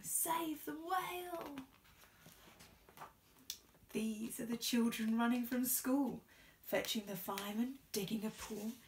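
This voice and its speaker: A young woman with a fairly high voice reads a children's rhyming story aloud. She takes her time, placing each phrase with expression. She is recorded a short way from the camera microphone. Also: recorded from a distance, slight background noise, rough recording. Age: young adult. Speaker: storybook reader. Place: small indoor room. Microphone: camera a short distance away.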